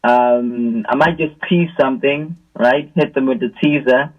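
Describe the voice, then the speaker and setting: A South African man, a musician guest, chatting casually in slangy, halting phrases. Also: medium pitch. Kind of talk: casual chat. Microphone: video call. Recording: dull lo-fi video call.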